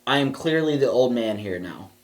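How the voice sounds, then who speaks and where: Thirties male podcast co-host, casual rambling chatter, animated delivery. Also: medium pitch, normal speed. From southwestern Pennsylvania. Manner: rambling, restarts sentences, animated. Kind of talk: casual chat.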